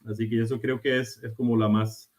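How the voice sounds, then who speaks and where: A man with a Costa Rican-tinged Spanish accent speaking as a panelist on a video call, halting with many restarts and fillers. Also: medium pitch, flat delivery, darkish tone. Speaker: panelist, charging company executive. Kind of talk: remote panel talk. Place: remote, joining from abroad.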